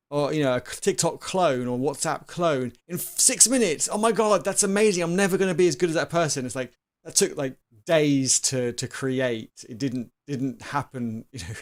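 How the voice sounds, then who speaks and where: Animated man hosting a live stream. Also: fairly high pitch. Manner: animated, acts out quotes, repeats words. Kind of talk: casual live stream chat.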